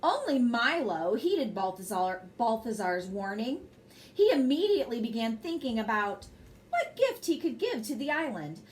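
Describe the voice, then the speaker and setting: Animated woman reading a bedtime story. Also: a little high, normal speed. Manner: expressive, addresses young listeners in asides. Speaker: storybook reader and host. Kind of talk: bedtime story read aloud with brief asides.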